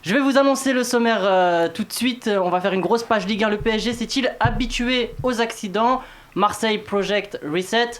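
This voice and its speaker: A man's voice: sits high, normal speed, fairly bright tone. A man hosting a football talk show, presenting topics and teasing his panel in an informal way.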